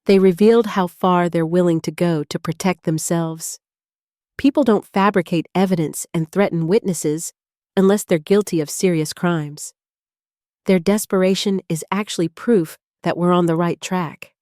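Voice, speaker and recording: A woman's voice: fairly low pitch, steady tone, normal speed, dark tone, no room sound, clean studio sound, close to an everyday voice. A woman voicing a grim, determined female ally giving firm reassurance in a narrated story. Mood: firm, reassuring.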